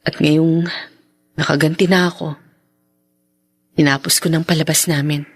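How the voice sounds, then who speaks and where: A woman's voice: low voice, steady tone, unhurried, slightly rough, soft background music, heavily acted. A woman playing a proud, heartbroken young woman in an earnest, melodramatic radio drama. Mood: guarded, bitter. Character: wounded, proud young woman still secretly in love. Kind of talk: Tagalog radio drama acting.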